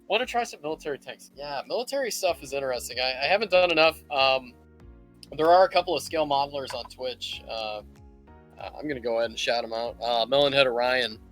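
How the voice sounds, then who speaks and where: Bright-voiced man chatting through a live tutorial. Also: medium pitch, fast, faint music behind. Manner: rambling, thinking aloud while working. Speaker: livestream host teaching miniature painting. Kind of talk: casual live tutorial chat.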